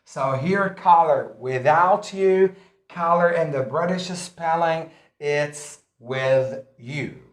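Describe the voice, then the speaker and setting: Emphatic high-voiced male English teacher. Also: slow, roomy sound. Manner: repetitive, emphatic teaching delivery. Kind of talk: recorded English lesson.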